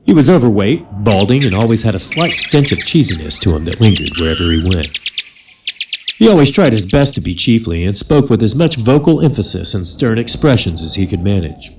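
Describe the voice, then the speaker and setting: A man's voice: lowish voice, big pitch swings, fairly quick, background noise. A man narrating a scripted comedy audio drama in a wry, crude manner. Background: loud music with guitar.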